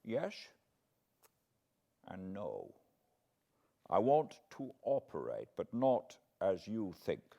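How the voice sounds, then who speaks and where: A man voicing an elderly foreign professor from a classic novel, solemn and fatherly, with quaint, deliberate phrasing. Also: fairly low pitch, wildly swooping pitch, gravelly, dark tone, highs cut off, full character voice. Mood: secretive, grave. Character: elderly foreign male professor, grave, tender, paternal, eccentric.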